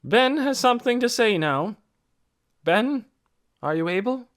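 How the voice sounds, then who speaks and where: A man voicing a steady, businesslike woman who leads her village, in measured, solemn tones for an audiobook. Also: very high-pitched, voice pushed up, exaggerated voice. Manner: measured, solemn public speaking. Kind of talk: audiobook character voice.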